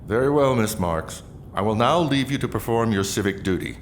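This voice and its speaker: Dystopian military officer, formal, procedural, fairly low voice, radio drama. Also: male voice, lively intonation, normal speed. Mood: official, detached. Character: formal, officious male lieutenant of a dystopian state.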